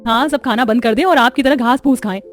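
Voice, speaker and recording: Woman acting young sister, high voice, cheeky, sarcastic, quick, story cartoon. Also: faint music behind, close to an everyday voice. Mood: teasing. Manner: sarcastic, scolding.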